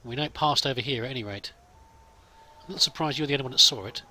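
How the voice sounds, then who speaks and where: A man's voice: mid-range voice, fast talker, noisy background. A man hosting a let's play with casual role-played commentary, chatty and musing to himself.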